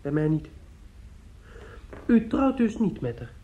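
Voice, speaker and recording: An actor in a radio drama playing a bitter young rival in love, coldly polite, clipped and sardonic. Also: male voice, a little high, big pitch swings, slow. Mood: cold challenge. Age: young adult. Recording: dull, muffled radio play recording.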